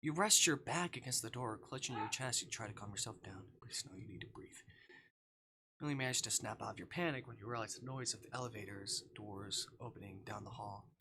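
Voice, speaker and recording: A man's voice: fairly low pitch, brisk, background noise. A man live streaming, expressively reading a visual novel aloud with casual asides and stumbles as he skims.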